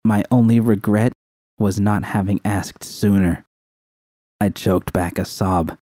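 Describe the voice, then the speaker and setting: A man's voice: fairly low pitch, fairly even intonation, slow pace, mellow, no room sound, studio quality. A man reading scripted first-person dramatic fiction as an audiobook narrator.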